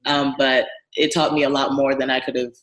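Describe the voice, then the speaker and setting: A young woman from Ghana, a guest business owner telling her story in a relaxed, friendly way, laughing easily. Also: a bass voice, a little fast. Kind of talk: casual interview conversation. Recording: echoey room sound.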